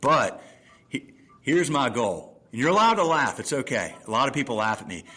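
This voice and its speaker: A man of fifty hosts a podcast conversation from his desk, speaking into a microphone beside his laptop. He talks in a relaxed, enthusiastic way and works through ideas with plain everyday examples. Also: mid-range voice, normal speed, slightly rough. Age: 50s. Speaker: podcast host. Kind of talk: casual conversational interview. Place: room at a table with laptop.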